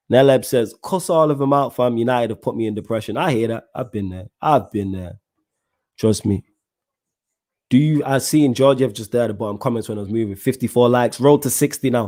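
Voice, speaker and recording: A man hosting a live football podcast stream, reading out viewer comments and answering in heavy slang. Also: mid-range voice. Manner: animated, heavy slang, keeps saying "you know what I'm saying". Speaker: podcast host.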